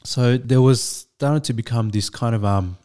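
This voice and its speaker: A man, a Vietnamese speaker, telling his story as a guest in a measured, thoughtful way with frequent restarts. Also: mid-range voice, a little mellow, clean tone. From Australia. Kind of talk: reflective storytelling.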